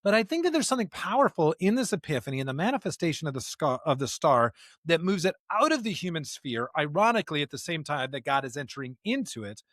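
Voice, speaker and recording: A man's voice: a little high, sing-song, quick pace, a bit bright, no room sound, studio quality. A man co-hosting a podcast in unscripted theological discussion, speaking emphatically and posing rhetorical questions. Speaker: podcast co-host.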